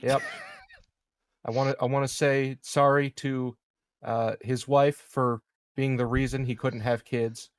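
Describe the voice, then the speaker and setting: A man playing a tabletop roleplaying game over voice chat, stammering through his sentences. He swears casually and delivers his jokes deadpan. His voice is dry and close to the mic. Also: medium pitch, unhurried, clean tone, dry recording. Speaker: player.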